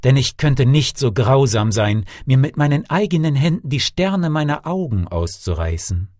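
A man's voice: mid-range voice, expressive, normal speed, darkish tone, no room sound, clean studio sound, voice pushed up, natural voice. A man voicing a loving queen and mother in a storybook, earnest and emphatic. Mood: earnest, firm. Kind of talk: storybook character voice.

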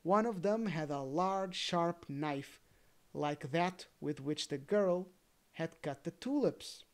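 A storybook narrator reads a fairy tale aloud at a slow, deliberate pace. The delivery is gently expressive and careful, in a mid-range voice, with a casual, homely feel. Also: mid-range voice. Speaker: storybook reader.

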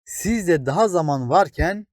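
A man's voice: on the high side, expressive, normal speed, a little mellow, dry recording, clean studio sound, pitched above the performer's own voice. A man voicing an old, wise, towering plane tree in a children's storybook, kindly but grave, explaining things patiently. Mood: grave, pitying. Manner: explains patiently, exclaims and addresses small listeners. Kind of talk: children's storybook character reading.

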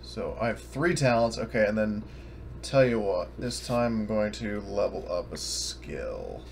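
A man's voice: on the low side, sing-song, a little slow, vocal fry, a little hiss or hum. A man chatting casually and swearing freely during an online tabletop roleplaying game session. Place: online voice call, indoors.

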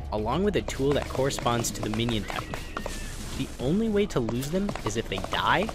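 A man hosting a gaming video, giving a scripted explainer in an informal, matter-of-fact way. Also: medium pitch. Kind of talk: scripted explainer narration of a game character ranking. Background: music behind the voice.

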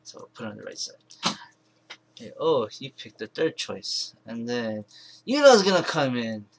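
A man presenting an informal software tutorial, thinking aloud in a halting, rambling way and correcting himself as he goes. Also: mid-range voice, sing-song. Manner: halting, rambling, self-correcting, mumbled asides. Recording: clear background noise, keyboard and mouse clicks.

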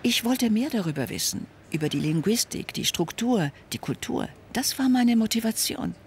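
A man voices the translated words of a signer in measured, first-person sentences. His voice sits a little high for a man and has a gravelly edge. A faint hiss lies under the voice. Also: expressive. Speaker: voice-over interpreter. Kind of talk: read voice-over translation of signed speech.

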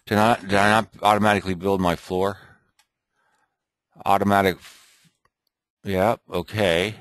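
A man thinking aloud and muttering to himself as he clicks through an off-the-cuff software tutorial at his computer. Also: low voice, little pitch movement, a little slow, no echo. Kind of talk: off-the-cuff screen recording tutorial.

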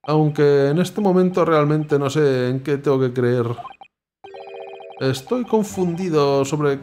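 A man's voice: fairly high pitch, takes it slowly, darkish tone, soft background music. A man reading the lines of a young male stand-in lawyer, hesitant and polite.

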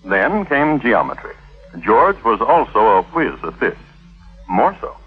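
A man narrates in wry, theatrical vintage radio style. His pitch moves expressively through each phrase. The recording is thin and tinny, with music clearly playing behind him. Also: very animated, a little slow. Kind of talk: scripted wry storytelling narration for 1950s radio.

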